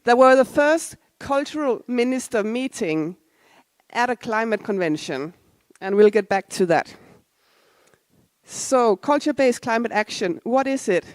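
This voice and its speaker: A woman's voice: fairly high pitch, animated, a little slow, no room sound. A woman in her forties, originally from Denmark, giving a casual, self-deprecating conference talk with asides to the audience. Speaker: conference speaker. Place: conference hall.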